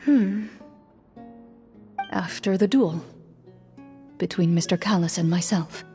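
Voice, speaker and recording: A woman playing a composed, serious young female duelist in a video game, speaking in a measured, formal way. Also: lowish voice, dark tone, big-room sound, soft background music. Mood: reserved, thoughtful.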